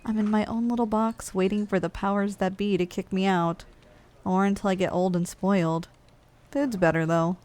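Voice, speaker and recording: Giantess office worker , female performer: medium pitch, weary and blunt, musing conversationally in an ASMR roleplay, lightly teasing. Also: fairly even intonation, moderate pace, a little mellow, natural voice. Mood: weary, complaining.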